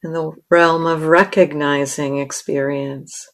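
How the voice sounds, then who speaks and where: Slow, low-pitched woman guiding meditation. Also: fairly even intonation. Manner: measured, with long pauses between short phrases. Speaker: meditation teacher. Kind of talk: guided meditation.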